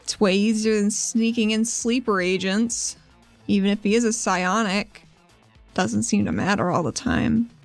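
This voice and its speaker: A woman's voice: medium pitch, expressive, a little slow, no room sound. A woman playing a tabletop role-playing game, talking casually and partly in character. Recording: faint music under a dry voice. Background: soft background music.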